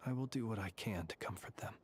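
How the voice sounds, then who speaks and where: An actor playing a solemn, courtly lighthouse warden who consoles ghosts in a video game, measured and eloquent. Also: male voice, on the low side, a little hiss or hum, natural voice. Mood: sorrowful, reflective. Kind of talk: video game character acting.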